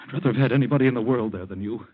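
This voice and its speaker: Man acting a husky, intense, accusing young man. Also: mid-range voice, rapid-fire, close to an everyday voice. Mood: furious accusation. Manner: intense, insistent, dramatic. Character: anguished, accusing young surgeon's son in noir drama. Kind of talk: 1940s radio acting. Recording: muffled old radio recording.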